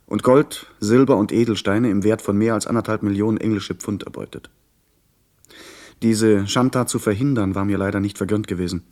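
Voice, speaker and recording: A male actor as an earnest gentleman narrator in a radio drama of pirates and sea voyages. His voice is fairly low, and he tells the tale in measured, articulate, literary sentences. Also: lightly acted. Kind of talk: scripted radio play narration.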